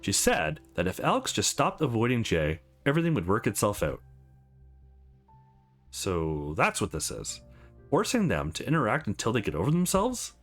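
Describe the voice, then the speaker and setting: A man voicing a shrewd, sentimental old lawyer in a visual novel, speaking formally and with measured care. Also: medium pitch, wildly swooping pitch, fairly bright tone, faint music behind, voice pushed down. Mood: measured, defensive.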